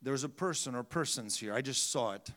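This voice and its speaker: A man preaching a sermon as pastor, his voice rising to loud, emphatic shouts and falling quiet, with frequent restarts and slightly broken English. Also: mid-range voice, expressive, brisk.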